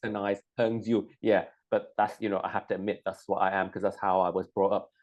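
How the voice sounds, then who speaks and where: A middle-aged man, born in Hong Kong, chatting casually, hesitant with lots of ums and restarts and quick to laugh. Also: fairly low pitch, little pitch movement, darkish tone, lo-fi sound. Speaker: co-host. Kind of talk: casual chat.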